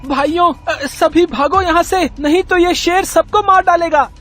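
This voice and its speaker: An actor playing an anxious, pleading male circus clown in a cartoon horror story, excitable and panicky. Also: very high-pitched, measured pace, faint music behind. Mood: panicked.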